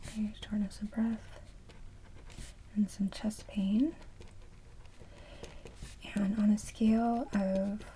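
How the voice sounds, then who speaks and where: A woman performs a whispered ASMR roleplay as a medical receptionist. Her voice is soft and mellow at a middling pitch, with short, orderly phrases delivered gently. Some faint background noise sits behind her. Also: low-quality recording.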